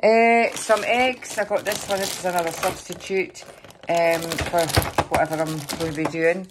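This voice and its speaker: A middle-aged Scottish woman chatting casually over a grocery haul in her home kitchen, rambling a little, slightly hoarse. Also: fairly low pitch, fairly even intonation, normal speed, heavy background noise. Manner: chatty, rambling, with fillers and small laughs. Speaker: vlogger showing a grocery haul. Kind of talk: casual chat to camera. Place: kitchen counter at home, indoors.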